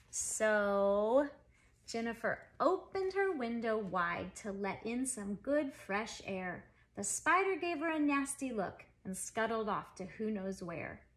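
A woman in her forties reads a children's picture book aloud at home. Her voice is on the high side, with expressive, emphatic phrasing aimed at young listeners. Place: living room at home.

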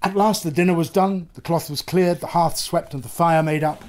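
An older man in his sixties or above giving an expressive, theatrical reading of a literary story. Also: on the high side, normal speed. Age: 60s or older. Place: small book-lined room, indoors.

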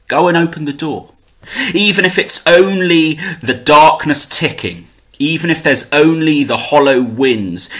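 A young man, a poet and native English speaker, presenting to camera in an animated, emphatic way. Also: mid-range voice. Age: young adult. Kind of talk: informal promotional talk to camera with poem recitation. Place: small room at home, indoors. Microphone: webcam at desk distance.

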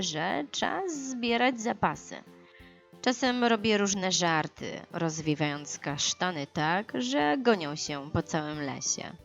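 A woman voicing a kindly, playful forest spirit in a children's story, explaining things gently and expressively. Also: lowish voice, muffled recording.

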